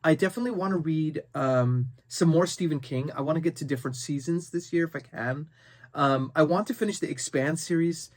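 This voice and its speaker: A man's voice: fairly high pitch, fairly quick. Man chatting casually about books. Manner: conversational, thinking aloud. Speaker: book review video host. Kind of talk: casual unscripted book chat to camera.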